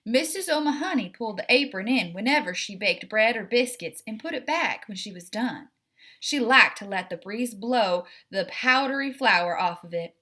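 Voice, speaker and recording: A woman reading a children's story aloud as narrator, in an expressive storytelling style. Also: mid-range voice. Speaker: story reader.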